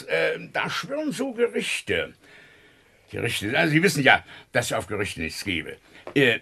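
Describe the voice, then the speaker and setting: A man's voice: on the high side, gravelly, bright, forceful tone, breathy edge, full character voice. An actor playing a dithering, self-important older school inspector in a comic radio play, hesitant and repeating his own phrases.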